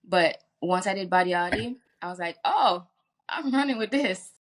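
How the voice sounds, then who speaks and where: A woman's voice: medium pitch, lively intonation, moderate pace, a little hiss or hum. A woman from Jamaica, a singer talking candidly in a casual interview, laughing easily and restarting her sentences. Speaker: guest singer.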